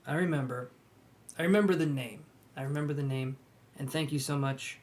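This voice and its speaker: A young man reading tarot on a live stream, chatting and gently reassuring his viewers. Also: medium pitch, a little fast. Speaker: tarot reader.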